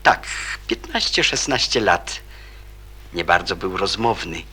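An actor playing a courteous, perceptive man in a radio drama, husky and politely questioning. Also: low-pitched, lively intonation, full character voice. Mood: thoughtful, considerate. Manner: polite, measured, gently questioning. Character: courteous, perceptive adult man, calmly probing and considerate. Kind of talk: Polish radio drama acting.

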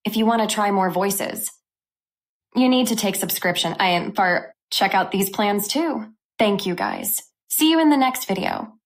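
A woman host narrating a scripted software review for a tech channel. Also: medium pitch, expressive, unhurried.

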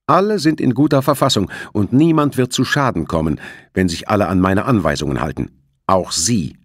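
A man voicing a determined hijacker in command of a seized ship in an audiobook, speaking in deliberate, menacing commands. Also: lowish voice, expressive, no echo, studio quality, voice pushed up. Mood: cold threatening.

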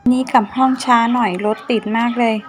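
A woman's voice: on the high side, flat delivery, a little fast, a little hiss or hum, lightly acted. A woman playing an anxious young woman haunted by her dead roommate in a cartoon ghost story, speaking dramatically with exclamations and repetition. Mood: casual. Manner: dramatic, exclaiming, repeats phrases when panicked. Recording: music behind the voice.

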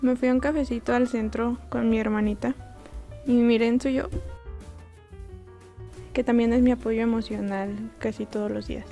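Young woman artist, relaxed chatty voice-over, fairly high pitch. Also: normal speed, long pauses, soft background music. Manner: relaxed, chatty, self-deprecating. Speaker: artist narrating a painting process. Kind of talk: casual voice-over narration.

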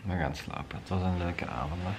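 Very deep-voiced Flemish young man. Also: a little fast, vocal fry, background noise. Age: young adult. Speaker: vlogger. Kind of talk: casual late-night chat in bed. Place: hotel bedroom, lying in bed. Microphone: handheld camera at arm's length.

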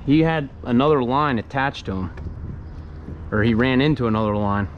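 Relaxed guy mumbling through a kayak fishing trip, head cam, wind blowing loud on the mic. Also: mid-range voice, moderate pace, highs cut off. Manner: laid-back, muttering to himself between catches. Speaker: fishing vlogger. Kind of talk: casual on-the-water narration. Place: kayak on open water under a bridge, windy. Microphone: head-mounted action camera. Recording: wind noise on an outdoor camera mic.

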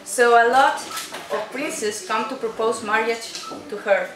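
A woman's voice: fairly high pitch, takes it slowly, background noise. A young woman reading a folktale narration aloud from a script for a puppet show. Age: young adult. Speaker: student narrator. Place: indoor room at a day care centre. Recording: echoey room, clatter of crowd and dishes.

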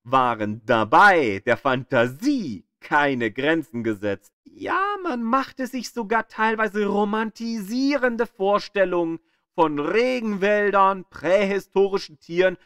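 A man reading scripted educational narration like an audio tour guide, with an expressive storytelling delivery. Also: high voice, takes it slowly, clean tone, no room sound, studio quality.

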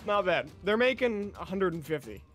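A man's voice: high-pitched, big pitch swings, normal speed, fairly bright tone, faint music behind. A man chatting casually with friends while playing a game. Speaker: multiplayer gamer. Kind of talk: casual chat while gaming.

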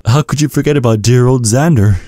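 A man playing a seductive, vengeful vampire in an ASMR roleplay, taunting in a sultry, husky, breathy voice. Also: medium pitch, a little fast, warm tone, no room sound, clean studio sound, natural voice. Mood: bitter, taunting. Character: seductive, vengeful male vampire, former protégé of a priest.

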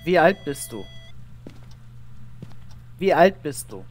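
A man's voice: fairly high pitch, very animated, slow, a little hiss or hum. A man chatting casually while playing a co-op ghost-hunting game, calling out questions to a ghost. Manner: calls out questions to a ghost, teasing. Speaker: gamer.

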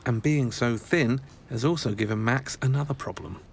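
A man's voice: mid-range voice, moderate pace, creaky, a little hiss or hum. A man narrating a documentary in a scripted voice-over, with dry wry asides.

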